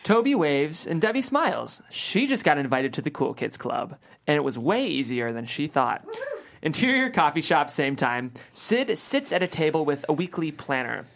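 Female stage directions reader for comedy table read: deep-set pitch, lively, varied narration. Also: moderate pace.

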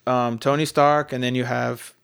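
A man's voice: medium pitch, little pitch movement, moderate pace, creaky, fairly bright tone, clean tone. Blunt, joking man in casual banter. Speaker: co-host of a talk show.

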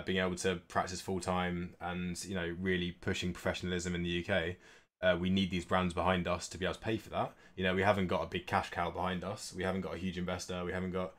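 Low voiced guy, pretty even tone, hosting a laid-back unscripted company update, bit hesitant. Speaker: esports organisation co-owner hosting an update stream. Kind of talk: casual business update, unscripted.

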